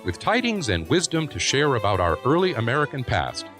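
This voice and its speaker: A man's voice: mid-range voice, huge pitch swings, fairly quick. A man reading a podcast's opening announcement in a polished announcer style. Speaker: show announcer. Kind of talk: scripted show intro. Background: loud music.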